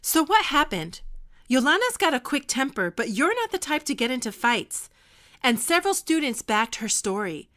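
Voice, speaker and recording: A woman playing a stern but caring American high school history teacher in an audio drama, firm and authoritative. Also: sing-song, a bit bright. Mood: probing, softer. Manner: firm, scolding, measured authority.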